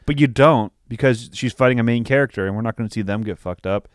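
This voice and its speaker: A man's voice: medium pitch, fast, clean tone, no room sound, clean studio sound. A young man co-hosting a podcast, chatting casually about films with slang and swearing. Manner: slangy, profane. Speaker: podcast co-host. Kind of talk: casual film review chat.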